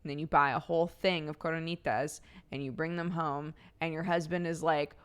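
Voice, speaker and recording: A woman's voice: deep voice, fairly even intonation, fairly quick, clear, resonant tone. A woman streamer with a slightly phlegmy throat, chatting casually, laughing often and thanking subscribers one by one. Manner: giggly, laughs often between lines.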